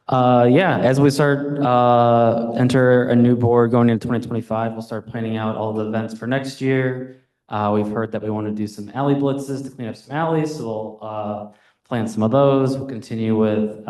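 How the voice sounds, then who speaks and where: A man leading a neighborhood association meeting, relaxed and hesitant with many fillers. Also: mid-range voice. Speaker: neighborhood association board leader. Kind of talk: casual meeting announcements. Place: echoey community meeting room. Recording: roomy echo, voice at a distance from the mic.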